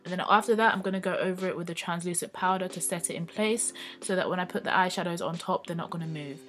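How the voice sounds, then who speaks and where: A woman talking through her makeup tutorial in a relaxed, chatty voice-over with a slightly croaky, just-woken-up sound. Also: fairly low pitch, fast, soft background music. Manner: relaxed, chatty, with many ums and likes. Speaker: beauty vlogger.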